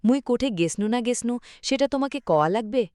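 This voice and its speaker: A woman's voice: a little high, lively intonation, fast talker, a little mellow, close to an everyday voice. A woman voicing a curt, touchy village wife in a cartoon, with a northern Bengali Rangpuri accent. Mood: indignant, defensive. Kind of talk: cartoon dialogue in village dialect.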